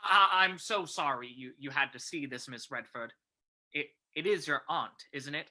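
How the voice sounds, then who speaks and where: A man playing a gruff, fussing police commissioner in an old-time radio reading. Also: fairly high pitch, moderate pace, bright, forceful tone. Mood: awkwardly consoling.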